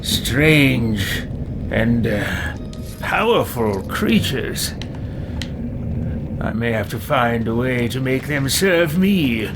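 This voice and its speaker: A man's voice: mid-range voice, big pitch swings, drawn-out words, long pauses, exaggerated voice. A man roleplaying a haughty, scheming evil wizard in a video game, pompous and theatrical as he muses aloud and orders companions about. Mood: haughty musing. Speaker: gamer roleplaying a character in a let's play. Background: loud game music.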